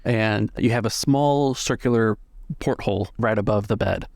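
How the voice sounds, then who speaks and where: A man's voice: lowish voice, normal speed, darkish tone, no room sound, studio quality. A man acting as game master, describing scenes conversationally in casual tabletop game narration.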